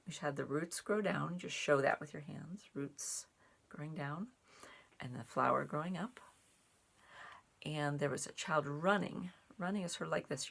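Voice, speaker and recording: A woman's voice: deep voice, creaky, breathy edge. A woman reading and explaining in simple language, as a storyteller and sign language teacher for young children. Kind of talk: picture-book read-aloud and casual sign language teaching.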